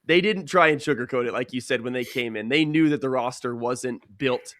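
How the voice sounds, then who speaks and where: A man's voice: medium pitch, brisk, a bit bright, no room sound, clean studio sound. A young man, a sports broadcaster, giving earnest, conversational answers as a guest in a casual interview. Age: young adult.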